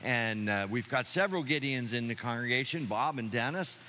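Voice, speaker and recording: A man's voice: medium pitch, lively intonation, brisk, pushed and bright, slight background noise. A man leading a church service as pastor, speaking with enthusiasm to the congregation. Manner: enthusiastic, preacherly. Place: church sanctuary.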